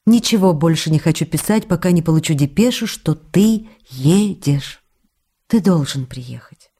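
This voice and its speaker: Loving young actress writing letters, tender and intimate, warm low tone. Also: female voice, expressive, measured pace, breathy edge, exaggerated voice. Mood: yearning. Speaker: letter reader. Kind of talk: radio drama letter reading.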